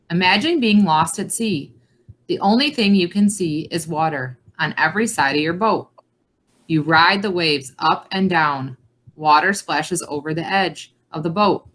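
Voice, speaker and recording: A woman's voice: lowish voice, a bit bright. A woman reading a children's nonfiction book aloud as a read-along presenter.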